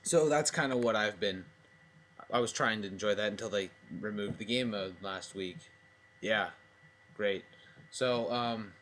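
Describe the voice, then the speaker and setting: A man's voice: mid-range voice, a bit bright. A young adult man telling a personal story in a casual, hesitant, self-deprecating way, swearing freely. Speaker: storytelling video host.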